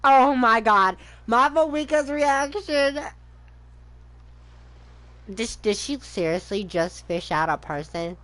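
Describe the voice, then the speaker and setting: A woman's voice: on the high side, expressive, slow, pauses often, fairly bright tone, no room sound. A woman streaming a video game, chatting casually with wry asides as she reacts. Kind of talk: casual playthrough reactions, some reading aloud. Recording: game music behind voice.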